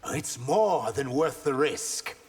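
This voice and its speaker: Slow, stern warrior emissary. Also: male voice, medium pitch, lively intonation, slightly rough. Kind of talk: video game fight banter.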